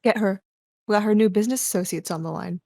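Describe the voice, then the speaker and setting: A woman's voice: mid-range voice, quick pace, creaky, mellow, no room sound, clean studio sound. A woman playing a cool, ruthless agent in an audio drama, clipped, businesslike and condescending. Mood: cool, curt.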